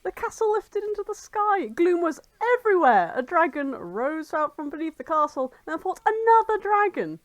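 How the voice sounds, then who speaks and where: A woman's voice: very high voice, very animated, normal speed, few pauses, no echo, voice pushed up, poor audio. A woman voicing a demanding, flabbergasted scientist in a hyperdramatic fan-fiction reading.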